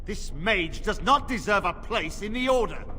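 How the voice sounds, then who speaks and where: An actor playing a stern, suspicious templar knight commander in a fantasy game, formal, commanding and accusatory. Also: male voice, high-pitched, expressive, normal speed, bright, forceful tone, a little hiss or hum, exaggerated voice. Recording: reverberant game dialogue with music.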